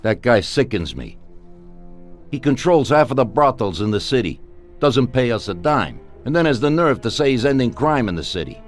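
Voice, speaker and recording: A man's voice: mid-range voice, sing-song, normal speed, close to an everyday voice. A man playing a ruthless, contemptuous mafia boss, calculating his moves in a game cutscene. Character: scornful, ruthless male mafia boss plotting against a rival. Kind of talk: dramatic game cutscene dialogue. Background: faint music.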